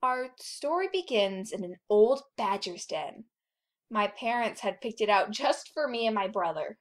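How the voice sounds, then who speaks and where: Woman as a slow-spoken grown she-wolf storyteller. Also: medium pitch, animated, close to an everyday voice. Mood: storytelling. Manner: measured storytelling. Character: grown female wolf recalling her orphaned cubhood. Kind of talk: scripted story narration, cartoon audition.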